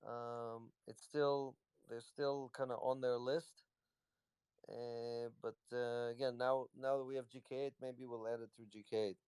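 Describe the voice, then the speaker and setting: A man's voice: medium pitch, little pitch movement, unhurried, darkish tone, smooth, no room sound. A man, a company executive, casually answering questions on a live audio chat in a loose, rambling way. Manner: rambling, loose, lots of "you know".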